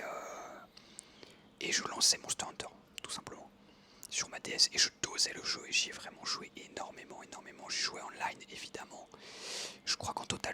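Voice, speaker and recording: Soft whisper, rambling gently. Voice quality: unvoiced whisper. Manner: soft, meandering, many fillers. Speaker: ASMR creator. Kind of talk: whispered ASMR storytelling over gameplay.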